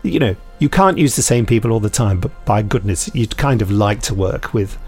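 A man's voice: lowish voice, expressive, normal speed, darkish tone, husky, soft background music. A British man hosting a podcast, chatting casually with rambling, self-mocking, dryly jokey anecdotes. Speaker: podcast host and audio drama maker.

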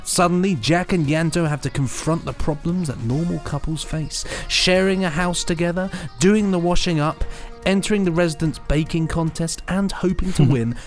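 A man's voice: on the high side, normal speed, few pauses, soft background music. A man hosting a podcast, chatty and playful as he presents news and reads out blurbs. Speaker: podcast host.

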